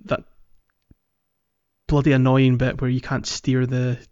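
A man hosting a gaming podcast in casual chat, with hesitant, halting phrasing. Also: medium pitch, monotone, darkish tone, clear, resonant tone. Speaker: podcast host. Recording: roomy echo, slightly dull sound.

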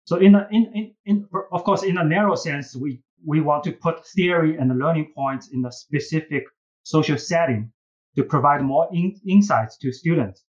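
Man, Chinese accent, slightly high pitch, earnest professor, halting phrasing, repeated words, somewhat muffled lo-fi recording. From China. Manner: earnest, halting with repeated words, often ends points with "right?". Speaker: business school professor and case writer, podcast guest. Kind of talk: interview answers.

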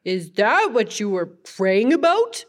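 A woman's voice: medium pitch, big pitch swings, slow. A woman voicing a friendly boy puppet who patiently questions and advises a pal in a children's church show. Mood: friendly, concerned.

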